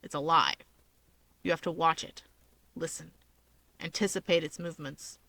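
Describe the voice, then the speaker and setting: Woman voicing a terse, gruff young man. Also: sits low, fairly even intonation, normal speed, bright, forceful tone, no echo, voice pushed down, heavily acted. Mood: matter-of-fact. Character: big gruff young man, a guild mage, quietly tender.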